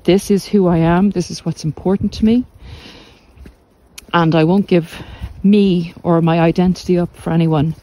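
A middle-aged woman giving reflective, informal podcast advice in a measured, deliberate way with pauses. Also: lowish voice, fairly even intonation, dark tone, no room sound. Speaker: podcast host and narcissistic abuse recovery coach. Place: walk outdoors along the coast.

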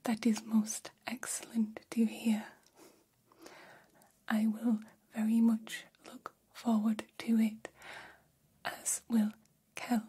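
A woman voicing a gentle, curious humanoid alien in whispered ASMR roleplay, speaking in soothing, stilted, formal phrases. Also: a little high, monotone, takes it slowly, full character voice. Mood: grateful, gentle. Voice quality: breathy whisper.

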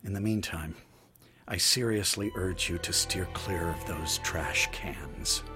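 An actor playing a stern, wary older man of authority in a radio drama, measured and grave, with a raspy voice. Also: deep voice, music in the background. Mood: cagey, suspicious.

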